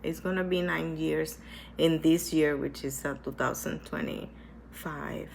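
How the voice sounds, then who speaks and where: Woman, low and gravelly, stuffy with a cold; unhurried, earnest personal vlog, hesitant delivery. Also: steady tone, a little hiss or hum. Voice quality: hesitant, with many restarts and repetitions. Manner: earnest, reflective, speaks slowly. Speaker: vlogger sharing her cancer experience. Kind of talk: casual personal talk to camera.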